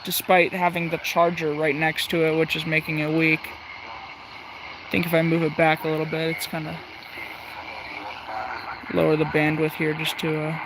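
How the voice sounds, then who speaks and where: A man's voice: fairly high pitch, completely flat delivery, normal speed, long pauses, background noise. A man hosting a casual livestream, thinking aloud with many fillers as he tunes a radio. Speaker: livestream host tuning a shortwave radio. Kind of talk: casual live commentary.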